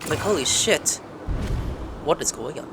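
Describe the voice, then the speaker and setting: A woman's voice: low voice, lively intonation, a little slow. A woman giving casual, exclamatory, sweary reaction commentary while playing a video game. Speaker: gamer reacting to a game playthrough. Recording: game music under the voice.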